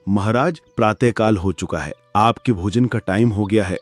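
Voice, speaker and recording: A man voicing a low, dutiful elephant minister. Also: normal speed, no echo, soft background music, natural voice. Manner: dutiful, deferential to the king. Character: loyal elephant chief minister. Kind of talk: Hindi cartoon dubbing.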